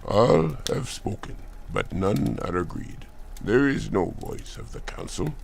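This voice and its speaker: Man as a stern chieftain, low, rough-edged voice, authoritative, measured, archaic drama lines. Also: expressive, slight background noise, heavily acted. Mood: commanding. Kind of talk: audio drama with archaic speech.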